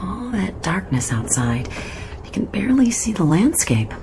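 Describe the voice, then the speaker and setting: An actress playing a polite, bored woman commuter making musing, unhurried small talk in a radio drama. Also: medium pitch, big pitch swings, noisy background.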